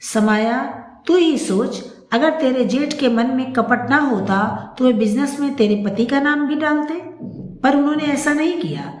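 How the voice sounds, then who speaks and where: A woman's voice: high voice, a little slow, voice pushed up. A woman voicing a protective, indignant female friend who speaks in a serious, emphatic, scolding way. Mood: grave, reproachful. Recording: echoey room.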